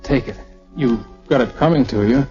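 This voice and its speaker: An actor with an American accent playing a wry, guarded, lovestruck major in a 1940s radio drama, in a halting, casual, slangy way. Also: male voice, mid-range voice, warm tone, close to an everyday voice. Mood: tender, hesitant. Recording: muffled old 1940s radio recording with music.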